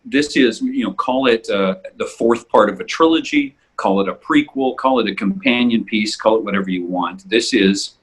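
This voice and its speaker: A man hosting a podcast, giving a casual introduction in a halting way, often repeating himself as he searches for words. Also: medium pitch, slightly rough. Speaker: podcast host.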